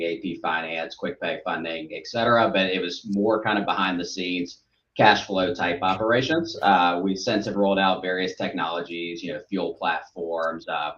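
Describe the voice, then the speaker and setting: A man with a light Southern accent talks as a podcast guest, friendly and upbeat, rolling through long explanations. His voice sits very low. The sound is roomy and echoey with a dull, muffled quality. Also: a bit bright. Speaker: guest, brokerage executive. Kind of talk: casual podcast interview. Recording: echoey, dull remote audio.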